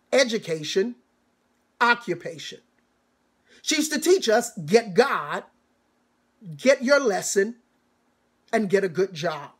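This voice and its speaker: A middle-aged man preaches to graduates in a high-pitched voice. He speaks very slowly and deliberately, leaning on repetition and dramatic pauses for emphasis. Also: a bit bright. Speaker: church elder, commencement speaker. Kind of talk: sermon-like commencement address. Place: small home study, indoors.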